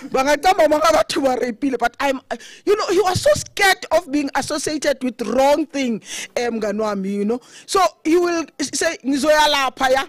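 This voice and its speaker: Loud South African woman giving a eulogy. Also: sits high, lively intonation, normal speed, dry recording. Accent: South African, mixing Zulu. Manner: loud, conversational, code-switching. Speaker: eulogy speaker, committee chair. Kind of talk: funeral tribute speech. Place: open thatched shelter outdoors. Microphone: podium mic.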